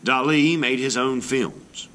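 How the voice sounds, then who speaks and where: A man reading a children's book aloud as an art teacher, in an expressive, explanatory way meant for children. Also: medium pitch, takes it slowly.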